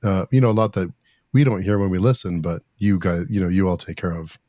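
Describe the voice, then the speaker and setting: Deep, mellow-voiced man, dry sound. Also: fairly even intonation, brisk, dry recording. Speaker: podcast interviewer. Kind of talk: casual interview questioning.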